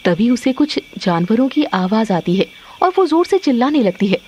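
Casting: female narrator for animated tales, high, lively, dramatic, slight noise behind. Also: normal speed. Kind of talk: dramatic scripted story narration.

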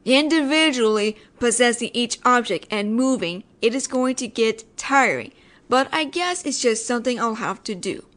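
A woman acts out a judgemental female ghost haunting an apartment, in a somewhat high voice. She is sarcastic and exasperated, nagging the listener in a scripted audio roleplay, yet secretly cares. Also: lively intonation, moderate pace, fairly bright tone.

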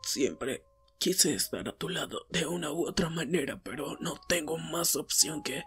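A man's voice: a little high, animated, unhurried, fairly bright tone, a little hiss or hum. A man playing a dying teenage boy hero, proud but remorseful, speaking weakly and falteringly in an anime fandub drama. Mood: resigned, weak. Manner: weak, faltering, breaking into whispers. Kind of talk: Spanish fandub audio drama, emotional acting.

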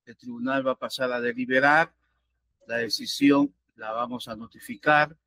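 A man's voice: medium pitch, steady tone, moderate pace, bright, forceful tone. An older man, a presiding judge speaking formally and curtly over a video call. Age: older, around 60. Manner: curt, firm, cutting the speaker short. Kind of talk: formal courtroom procedure. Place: indoors in an office chair, on a video call. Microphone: video call, seated close to the camera.

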